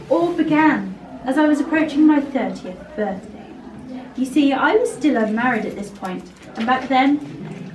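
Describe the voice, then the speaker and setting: A woman playing the ghost of a young woman hanged for her father's murder, telling her tale in a dramatic, measured way on a castle tour. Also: high-pitched, reverberant, far from the mic, poor audio. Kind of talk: theatrical storytelling. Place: indoor castle tour space.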